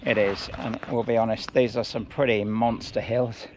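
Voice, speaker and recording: A man's voice: medium pitch, level tone, moderate pace. Chatty man on an outdoor bike ride. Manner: easygoing, chatty, laughs often. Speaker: vlog co-host. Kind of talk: casual product review chat. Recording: outdoor noise behind.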